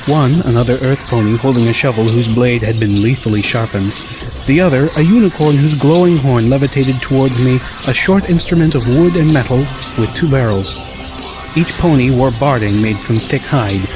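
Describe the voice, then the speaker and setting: A man narrating a first-person story as an audiobook, reading in a measured, dramatic way. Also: mid-range voice, rough recording. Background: music.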